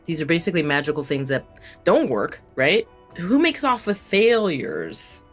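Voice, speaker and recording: Plainspoken shapeshifter heroine, fantasy audio drama. Low for a woman, lively swings in pitch. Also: normal speed, soft background music. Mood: puzzled.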